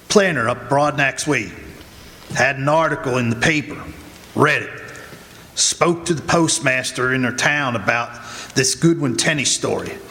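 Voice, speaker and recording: A man's voice: medium pitch, pushed and bright. An actor playing a blunt, impatient small-town man with clipped, folksy speech in a 1940s-style radio drama. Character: blunt, impatient small-town planter who trusts facts. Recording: live stage, long hall reverb.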